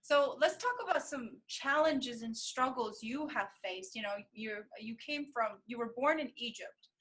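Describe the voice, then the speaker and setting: A young woman hosting an interview, chatty and animated, sharing her own student experiences. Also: fairly high pitch, measured pace, poor audio. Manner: chatty, lots of fillers, animated. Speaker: interview host, medical student. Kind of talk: casual interview conversation.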